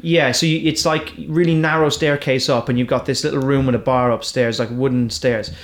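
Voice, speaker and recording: A man's voice: mid-range voice, steady tone, brisk. A man with an Irish accent, a stand-up comedian chatting casually and rambling through his stories with restarts. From Ireland. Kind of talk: casual chat.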